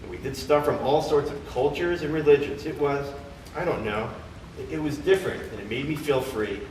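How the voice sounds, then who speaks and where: A man's voice: fairly high pitch, brisk, darkish tone, lightly acted. A man acting on stage as a conflicted, exasperated younger man, emphatic and questioning. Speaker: stage actor in a staged play reading. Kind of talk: live theater comedy acting. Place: theater or temple hall, far microphone. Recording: echoey hall from far off, noisy.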